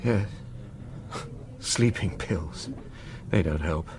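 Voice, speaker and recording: An actor playing a haggard middle-aged college warden in a radio drama, halting and anguished, tormented by nightmares. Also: male voice, deep voice, background noise. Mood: haunted, fearful. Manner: halting, anguished, broken phrases.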